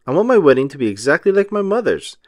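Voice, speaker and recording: A man voicing an earnest, tender young princess bride in a children's storybook, with gentle expression. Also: fairly high pitch, very animated, quick pace, no echo, clean studio sound. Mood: earnest, hopeful.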